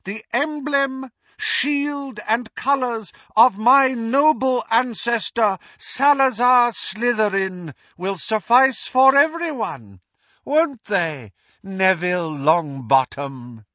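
A man voicing a cruel dark lord with a soft, hissing, silky voice, drawling his words slowly and mockingly. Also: very high voice, expressive, no echo, muffled recording, voice pushed up, full character voice. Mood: cold, quiet. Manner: slow, drawn-out, mocking.

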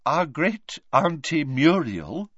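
A man's voice: on the high side, sing-song, fairly bright tone, husky, dry recording, dull audio, pitched above the performer's own voice, heavily acted. A man doing a tearful stammering mother. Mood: conciliatory. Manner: halting, stammering through tears.

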